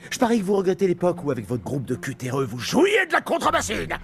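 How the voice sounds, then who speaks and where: A man's voice: high voice, wildly swooping pitch, quick pace, gravelly, exaggerated voice. A man voicing an arrogant, theatrical mad-genius doctor in a film dub, sneering and menacing with pompous, mocking speech. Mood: scornful. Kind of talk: French film fan dub acting.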